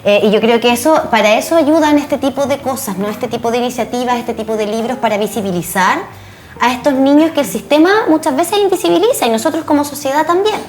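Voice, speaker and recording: A woman's voice: high-pitched, quick pace, darkish tone, faint music behind. A Chilean woman hosting a conversational studio interview, speaking earnestly and reflectively and posing rhetorical questions. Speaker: TV interview host.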